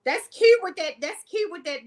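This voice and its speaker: Woman, Baltimore accent, very high voice, podcast host, laughs a lot, reads out comments. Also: lively intonation, normal speed, a loud crowd. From Baltimore, USA. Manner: laughing often, reading viewer comments aloud. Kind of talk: casual live-stream chat.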